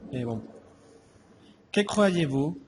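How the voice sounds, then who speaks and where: A man asking interview questions in slow, formal phrasing. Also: a little high, lively intonation, a little hiss or hum. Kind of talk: reading prepared interview questions.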